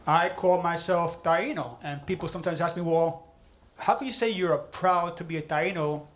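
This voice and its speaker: A man, a poet, speaking to a gallery audience in a measured, declarative way, dropping in Spanish words. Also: on the high side, a little hiss or hum. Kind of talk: speaking at an artist reception. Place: gallery reception room.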